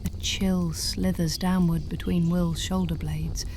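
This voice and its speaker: Female storyteller for fantasy ASMR; somewhat low, soft, unhurried, occasionally whispered, over noticeable ambience. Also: level tone, noisy background. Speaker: narrator.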